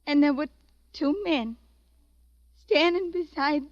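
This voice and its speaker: High-voiced, anxious, girlish American wife. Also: slow, dry recording. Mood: relieved, then dawning. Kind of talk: 1940s radio acting. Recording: muffled dry 1940s radio recording.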